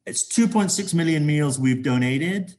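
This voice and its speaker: A man from Zambia, a corporate sustainability executive, talking as an interview guest with a halting delivery full of restarts. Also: fairly high pitch, expressive, a little mellow. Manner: halting, many restarts and fillers.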